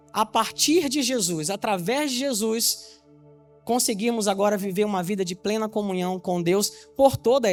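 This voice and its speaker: High-voiced pastor from Bahia. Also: male voice. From Salvador, Bahia, Brazil. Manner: teaching with rhetorical questions, checks the congregation understands. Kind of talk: evangelistic sermon.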